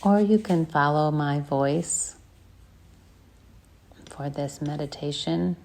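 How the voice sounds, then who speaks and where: Slow, low-voiced woman guiding meditation. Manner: slow, soft, gentle guidance with long pauses. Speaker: meditation teacher. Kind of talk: guided meditation.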